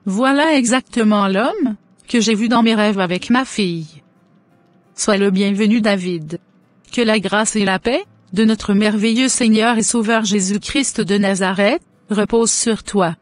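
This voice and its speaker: A woman's voice: medium pitch, no room sound, close to an everyday voice. A woman voicing a devout, blessing-giving mother. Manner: solemn, blessing-heavy, churchy phrasing.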